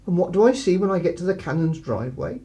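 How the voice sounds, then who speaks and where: A man's voice: sits high, expressive, fast, warm tone. An actor playing a plodding, deferential but sly English village policeman in a radio play, hesitant and roundabout. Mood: quietly knowing. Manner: hesitant, apologetic, polite, roundabout.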